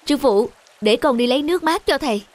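A woman voicing an eager, obedient young male disciple in a cartoon folktale, respectful and expressive. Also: high-pitched, brisk, slight background noise. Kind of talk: cartoon voice acting. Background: faint music.

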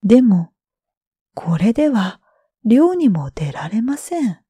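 Woman reading sleepy bedtime tales, soft breathy mellow voice, super gentle, dry recording. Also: on the high side, big pitch swings, dry recording. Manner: gentle, drawn-out, breathy phrase endings. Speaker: storyteller, freelance announcer. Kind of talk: bedtime story narration for sleep.

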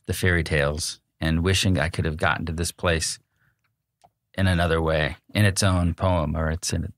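A man's voice: low-pitched, steady tone, normal speed, fairly bright tone. A man giving plainspoken opinions as a panelist in a casual literary discussion.

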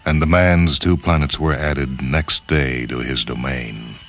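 A man reading a science fiction story as solemn, measured, dramatic narration. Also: a bass voice, steady tone, fairly bright tone. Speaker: short story reader. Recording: voice over background music. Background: orchestral and piano music.

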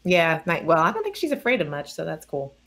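A woman's voice: low-pitched, wildly swooping pitch, brisk, a bit bright. A woman around forty chatting casually and informally on a video call. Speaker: guest. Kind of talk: casual chat.

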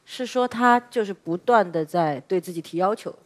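A woman on a comedy show panel, making lively, thoughtful remarks. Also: medium pitch, moderate pace. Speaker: panel guest. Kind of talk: panel comment.

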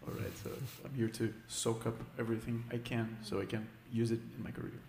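A man's voice: mid-range voice, flat delivery, a little fast, gravelly, a little mellow. A man introducing himself in an easygoing way into a handheld microphone during a workshop. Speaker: participant. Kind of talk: self-introduction. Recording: echoey classroom, background noise.

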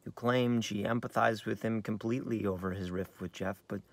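An American actor in his thirties playing a bitter, wounded screenwriter in a theatrical, halting dramatic monologue. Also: on the low side, fairly even intonation, vocal fry, no echo. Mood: rueful, bitter. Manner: theatrical, halting, shifting from hushed to outbursts. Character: bitter, wounded screenwriter brooding over his twin brother. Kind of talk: dramatic monologue in a staged reading, on book. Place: inside a parked car.

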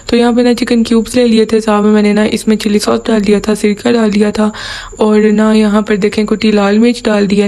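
A woman's voice: mid-range voice, dark tone. A young woman, chatting casually through a home cooking voice-over. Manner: chatty, lots of filler "na", giggles. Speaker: cooking vlogger.

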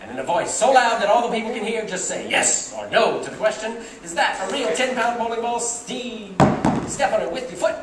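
Male stage magician, wisecracking, animated, heard from the back of a roomy theater. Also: high-pitched, a little fast, noisy background. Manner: wisecracking, teasing a volunteer. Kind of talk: live comedy magic act. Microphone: far audience camera. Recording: roomy theater sound from far off. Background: laughing, cheering audience.

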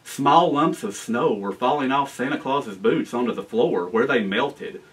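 An American man in his forties reading a children's storybook aloud in an animated, expressive way. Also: medium pitch, measured pace, distant mic, poor audio. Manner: animated, expressive reading with asides to young listeners. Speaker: guest storyteller, town official. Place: library room with bookshelves, indoors.

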